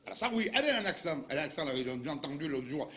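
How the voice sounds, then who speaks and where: Man as rustic old Dauphinois grump, thick Dauphinois accent, fast stammering rant, comic sketch. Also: on the high side, big pitch swings, bright, forceful tone. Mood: indignant. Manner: rambling, stammering repetitions, mock indignation.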